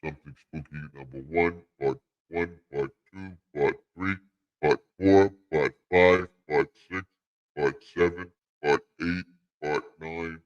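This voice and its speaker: A man with a low voice hosts a livestream in muffled lo-fi audio. He speaks very slowly, in a casual promotional style. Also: fairly even intonation. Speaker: livestream host. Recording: dull lo-fi sound.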